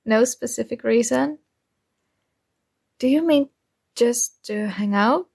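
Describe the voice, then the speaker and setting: Shy nerdy female student played by a woman for romantic ASMR roleplay; slow, halting, flustered, with vocal fry. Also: fairly high pitch, darkish tone, heavily acted. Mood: hesitant, awkward. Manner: hesitant, awkward, halting.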